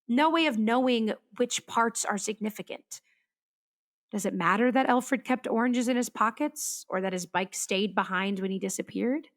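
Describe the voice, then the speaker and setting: A wistful, searching storm-chasing meteorologist. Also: female voice, fairly high pitch, a bit bright. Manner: thoughtful, searching, hesitant. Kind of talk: confessional monologue in an audio drama.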